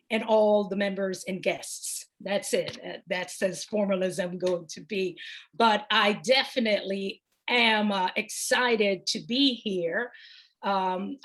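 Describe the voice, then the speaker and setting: A woman in her fifties with a Jamaican accent, giving a chatty, animated conference talk and greeting the audience. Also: mid-range voice. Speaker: conference speaker.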